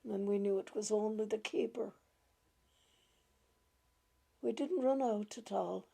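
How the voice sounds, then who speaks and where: A woman reads a literary tale aloud with a gentle, deliberate delivery. Her voice is mellow and creaky, and she takes her time over each phrase at a slow pace. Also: medium pitch. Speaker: reader of short stories. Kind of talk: gentle literary story reading.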